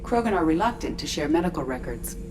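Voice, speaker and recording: An actress playing a calm female ship computer in a video game, precise and detached in delivery. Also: low-pitched, fast talker, over music. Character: calm, informative female ship artificial intelligence. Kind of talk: cinematic game acting. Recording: clear background noise.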